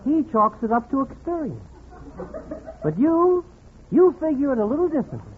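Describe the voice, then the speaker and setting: An American actor playing a wry, friendly comic narrator who addresses the listener directly in 1940s radio comedy style. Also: male voice, very high-pitched, sing-song, normal speed, over a noisy crowd. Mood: gently teasing. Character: wry friendly observer of married life. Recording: thin, muffled, noisy 1940s radio.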